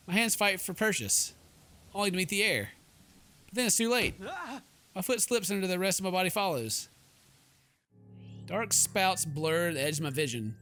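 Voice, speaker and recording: Bright-voiced, theatrical man doing game commentary. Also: sits high. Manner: theatrical, goofy. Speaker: gaming video host. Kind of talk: playthrough commentary with dramatic read-aloud narration.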